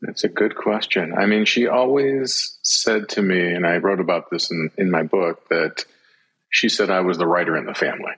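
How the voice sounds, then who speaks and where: A middle-aged man as an interview guest, telling personal reflections in a thoughtful, halting way. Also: low voice, a bit bright, husky, poor audio.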